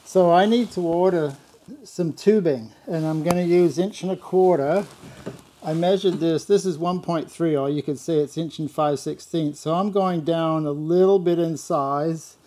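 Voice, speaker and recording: A man's voice: fairly high pitch, a little slow, dark tone. An older man casually talking through motorcycle fabrication step by step in a large workshop, repeating words as he goes. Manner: plain-spoken, explains step by step with frequent word repeats. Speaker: motorcycle builder showing his shop work.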